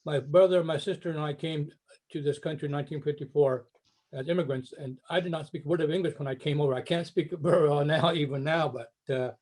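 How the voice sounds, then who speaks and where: Older man, mellow voice. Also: on the high side, steady tone, fast. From Japan. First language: Japanese. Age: 70s. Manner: modest, self-deprecating, with frequent restarts. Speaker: foundation chairman. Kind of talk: personal introduction speech.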